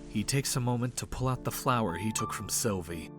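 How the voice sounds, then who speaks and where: A man's voice: fairly low pitch, brisk. A man reading scripted story narration for an audio drama, with music playing underneath.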